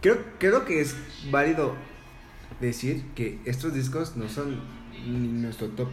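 A man's voice: medium pitch, expressive, slow pace. A man joining a casual chat, teasing and slangy. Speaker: podcast co-host. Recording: echoey room with faint music.

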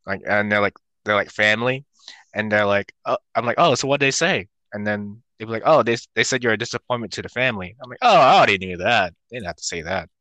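A man's voice: on the low side, quick pace, pushed and bright, no echo. Man, podcast co-host, self-deprecating humor, dry close sound. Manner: self-deprecating joking, laid-back. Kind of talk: casual chat.

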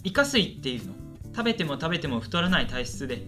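A man's voice: fairly high pitch, big pitch swings, quick pace, bright, forceful tone, soft background music. A man playing a shy, lonely university student in a radio drama, earnest and chatty in both dialogue and narration.

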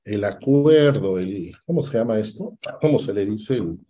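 A man, a political analyst answering interview questions, deliberate and sometimes stopping to search for a word. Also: on the low side, expressive, dark tone, husky. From Peru. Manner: deliberate, stops to search for words.

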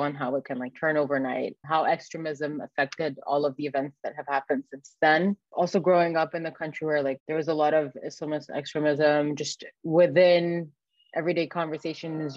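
A woman's voice: deep voice, no room sound, dull audio. A woman in her twenties from Egypt, answering interview questions as a researcher in an informal, reflective, conversational way. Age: 20s. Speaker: guest, early-career academic researcher.

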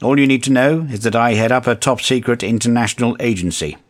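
A man's voice: medium pitch, quick pace, close to an everyday voice. A man playing an officious, exasperated secret agency chief in a comedic audio drama, commanding, scornful and melodramatic. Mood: grave.

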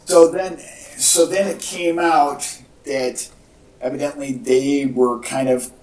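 A man talking casually as a podcast co-host, in a halting, conversational way. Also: medium pitch, steady tone, darkish tone. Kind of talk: casual chat about movie news. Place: indoor room with echo. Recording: echoey room, distant from mic.

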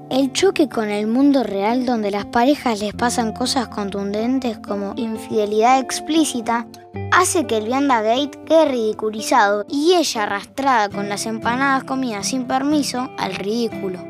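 Higher-voiced Argentine woman narrating fiction. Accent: Rioplatense Argentine.

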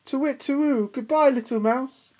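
Lady doing a posh-mannered flustered owl for kids, high voice, long drawn out words. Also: normal speed, darkish tone. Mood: alarmed, polite. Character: genteel, hooting male storybook owl, easily alarmed.

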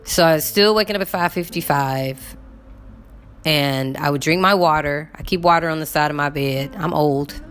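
A woman's voice: low-pitched, moderate pace, a bit bright, a little hiss or hum. A woman in her fifties chatting casually to her viewers, rambling with lots of fillers while getting over a cough. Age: 50s. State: recovering from illness, cough. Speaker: vlogger.

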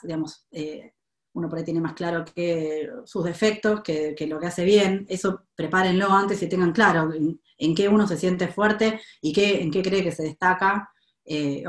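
A woman's voice: on the low side, reverberant. A woman with a Rioplatense Spanish accent giving career advice in a live Q&A, thoughtful, with many hesitations and restarts. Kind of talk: live Q&A webinar talk.

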